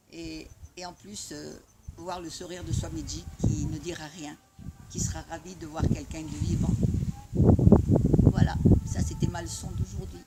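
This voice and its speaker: A woman's voice: deep voice, very noisy. Woman, Italian first language, wry and spirited storyteller, personal sharing. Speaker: spiritual community member sharing a testimony. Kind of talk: personal storytelling after a morning talk.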